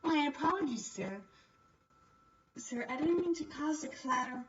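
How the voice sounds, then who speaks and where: A woman's voice: high-pitched, expressive, recorded from a distance, muffled recording. A woman voicing a shy, polite young flower girl who stammers, in a visual novel. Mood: timid, flustered. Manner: timid, halting, polite. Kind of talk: visual novel character reading.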